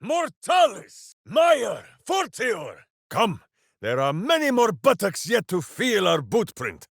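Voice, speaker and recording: An actor playing a boisterous, simple-hearted heroic warrior in a video game, bombastic and speaking of himself in the third person. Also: male voice, high voice, huge pitch swings, takes it slowly, gravelly, a bit bright, breathy edge, no room sound, studio quality, exaggerated voice. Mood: rousing, battle-ready. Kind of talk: video game character voice lines.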